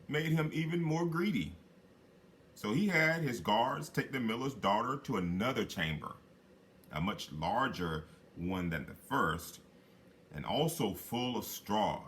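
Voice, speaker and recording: A man reading a children's fairy tale aloud as a storyteller, with dramatic, drawn-out phrasing for emphasis. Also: lowish voice, fairly bright tone, a little hiss or hum. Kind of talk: expressive read-aloud fairy tale narration.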